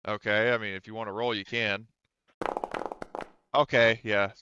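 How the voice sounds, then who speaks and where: A man running a tabletop roleplaying game as game master, talking casually and pausing often mid-sentence, on slightly dull audio. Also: on the low side, level tone, background noise.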